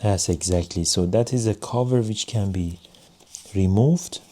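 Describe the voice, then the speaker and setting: A man giving a casual hands-on product review in measured, non-native English phrasing. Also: lowish voice, mellow. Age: adult.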